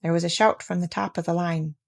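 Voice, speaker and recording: Woman narrator, children's classic, gentle even read-aloud. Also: on the low side, a little fast, creaky. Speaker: reader. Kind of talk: storybook reading aloud.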